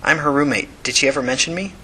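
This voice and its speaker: Guy doing a worried pushy young woman in an audiobook, higher pitched n bright, faint noise. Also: fast talker, poor audio. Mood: urgent. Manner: urgent, casual questioning. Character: anxious, pushy young woman searching for missing roommate. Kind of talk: audiobook character acting.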